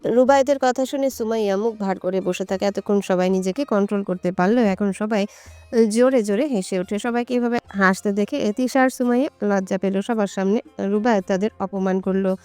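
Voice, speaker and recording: A woman's voice: mid-range voice, brisk, warm tone, smooth, dry recording, faint music behind. A woman storyteller reading a scripted romantic story as narration.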